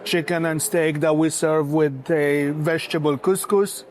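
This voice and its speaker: A man from Morocco, a restaurant founder talking as a guest in a casual interview, pausing often with filler sounds. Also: fairly high pitch, fairly even intonation, a little hiss or hum. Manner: hesitant, many filler sounds.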